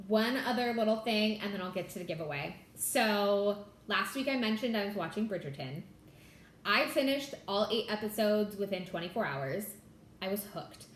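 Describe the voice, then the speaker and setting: Young woman from California, bubbly and chatty, mid-pitched voice, roomy echo, faint background hiss. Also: a bit bright. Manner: bubbly, chatty, says "like" and "y'all" a lot. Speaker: Flosstube host. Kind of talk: casual chat to camera.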